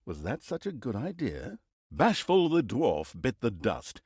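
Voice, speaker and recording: A man's voice: medium pitch, huge pitch swings, a little slow, a little mellow, slightly rough, dry recording, studio quality, full character voice. A male actor playing a wry, theatrical storybook narrator in a fantasy video game, with a sardonic, mock-grand delivery. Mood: wry, amused.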